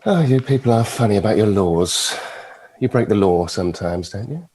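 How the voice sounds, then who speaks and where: An actor playing a suave, mischievous devil with a silky, teasing, theatrical manner at a table read. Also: male voice, on the low side, lively intonation, moderate pace, mellow, slight background noise, natural voice. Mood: seductive, teasing. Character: suave, mischievous devil, a retired Lord of Hell.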